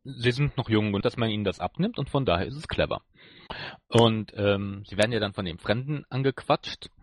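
A man with a fairly low, husky voice chats as a podcast co-host. He talks in a loose, halting way, thinking aloud as he goes. The recording is dry. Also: no echo. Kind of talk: casual podcast chat reviewing an audio drama.

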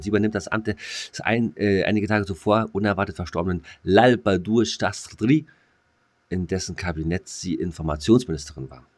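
A man co-hosting a podcast in casual comedic banter, rambling and throwing in jokey asides. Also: low voice. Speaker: podcast co-host.

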